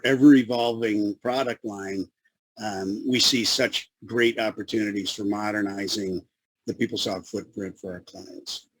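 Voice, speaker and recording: A man with a Chicago accent giving a webinar presentation as a consultant, with a radio-style voice and a halting manner full of fillers and restarts. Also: fairly low pitch, fairly bright tone, breathy edge, dry recording, low-quality recording.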